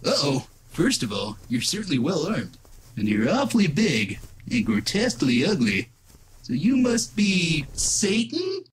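Hammy, swooping masked trickster. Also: male voice, on the high side, huge pitch swings, measured pace. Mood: sheepish, wisecracking. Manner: theatrical, mocking, hammy. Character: cocky green-headed masked trickster, wisecracking loudmouth. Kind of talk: comic-book dub voice acting. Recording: voice under loud music. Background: loud music.

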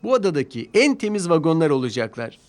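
A man voicing an eager, proud little male tank engine in a cartoon dub, indignant and quick to exclaim. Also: a little high, big pitch swings, a little mellow, pitched above the performer's own voice. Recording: music playing behind.

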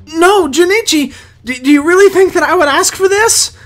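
A woman voicing a small, earnest teenage schoolboy in an anime fandub, excitable in manner. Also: very high voice, moderate pace. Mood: distressed pleading.

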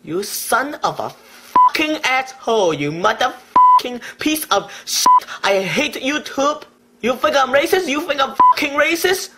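A man's voice: very high voice, huge pitch swings, measured pace, heavy background noise, heavily acted. A man doing a furious ranter. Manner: shouting, profane, broken English. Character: hot-tempered foul-mouthed Chinese male video maker. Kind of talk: comic rant to camera.

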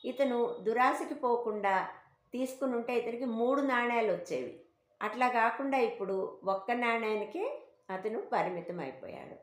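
A woman's voice: fairly high pitch, normal speed. A woman telling a moral bedtime story for children in a conversational, explanatory, didactic way. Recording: roomy, echoing home recording.

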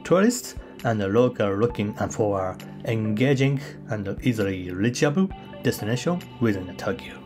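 Man with halting non-native English. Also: lowish voice, animated, over music. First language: not English. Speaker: travel vlogger. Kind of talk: voice-over travel guide.

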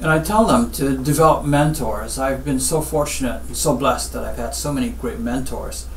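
A middle-aged man from Guyana, a surgeon giving advice in an interview in measured, deliberate short phrases with pauses. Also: mid-range voice. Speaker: spine surgeon, interview guest. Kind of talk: interview answers, health advice. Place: small office, seated at desk. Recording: roomy, distant mic, faint background noise.

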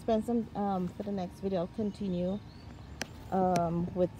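An excitable woman chats her way around her backyard garden and thinks aloud about what she sees. Her voice sits at an everyday middle pitch. The phone recording picks up a clear outdoor hum behind her. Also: a little slow. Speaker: gardening vlogger. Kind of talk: casual garden tour walkthrough. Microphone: handheld phone camera. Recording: outdoor ambience with noise.

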